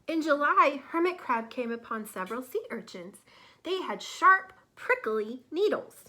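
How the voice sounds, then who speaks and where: Female storybook reader, high-pitched, bright, expressive, explains gently to children, unhurried. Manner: expressive, careful phrasing, explains to young children. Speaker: teacher reading a picture book to children. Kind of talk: children's storybook read-aloud with asides to listeners.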